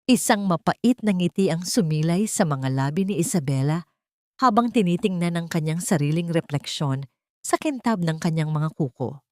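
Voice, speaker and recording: A woman narrates a dramatic story as an audiobook. Her voice sits a little low, and the recording is dry, with no room sound. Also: animated, normal speed, a little mellow, no room sound.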